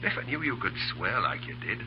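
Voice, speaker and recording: An actor playing a wry, flippant British cavalry officer with a dry, understated manner in old-time radio drama. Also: male voice, mid-range voice, big pitch swings, a little fast, lightly acted. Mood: weary, understated. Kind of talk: 1930s radio drama acting. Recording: muffled, noisy old radio broadcast with hum.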